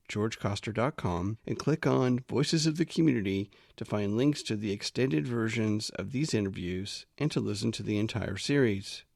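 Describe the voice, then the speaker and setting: A man's voice: on the low side, creaky, a little mellow, no echo, studio quality. A man hosting a community podcast, speaking as a presenter. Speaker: podcast host. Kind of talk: scripted podcast narration and interview hosting.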